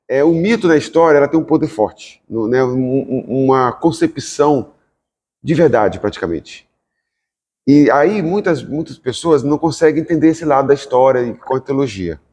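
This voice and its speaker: A man hosts a talk podcast, steering guests with questions meant for listeners. His pitch is mid-range and even. A long room reverb hangs on his voice. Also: normal speed. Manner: probing, asks questions for the audience. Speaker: podcast host. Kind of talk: podcast conversation.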